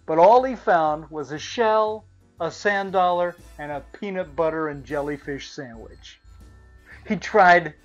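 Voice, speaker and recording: A woman narrating a children's storybook aloud in an expressive style. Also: lowish voice, a little slow. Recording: slightly muffled, music behind.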